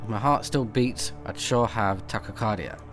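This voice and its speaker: A man's voice: fairly low pitch, moderate pace, a bit bright, soft background music. A man recording a let's play, switching between casual off-the-cuff commentary and reading game text aloud. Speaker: let's player, game streamer.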